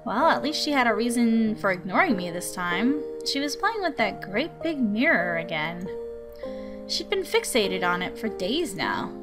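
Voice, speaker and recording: A woman's voice: medium pitch, sing-song, normal speed, lightly acted. A woman voicing an exasperated, dutiful guardian in a visual novel, dry and scolding. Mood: dry narration. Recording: music behind the voice.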